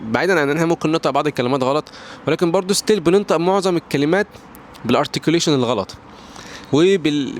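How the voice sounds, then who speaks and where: A man's voice: mid-range voice, a little hiss or hum. A young Egyptian man with an Egyptian accent, giving casual advice in a vlog, rambling with many restarts and slipping in English words. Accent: Egyptian Arabic. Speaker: English pronunciation tiktoker. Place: at home near a balcony door.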